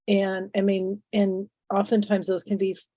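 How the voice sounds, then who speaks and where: A woman in her sixties, phlegmy and sniffly from a head cold, speaks as an interview guest in a thoughtful, halting way full of fillers and restarts. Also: mid-range voice, completely flat delivery, mellow, no room sound. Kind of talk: reflective conversational interview.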